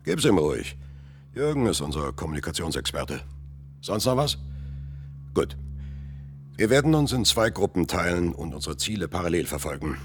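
Resolute male leader, deep voice, commanding, methodical, structured orders, radio drama. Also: big pitch swings, moderate pace, long pauses. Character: authoritative, methodical male leader of resistance group.

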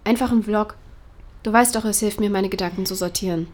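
A woman's voice: medium pitch, a little fast, darkish tone, close to an everyday voice. A young woman playing a frank, slangy vlogger in an audio drama, casual and blunt. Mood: subdued. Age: young adult.

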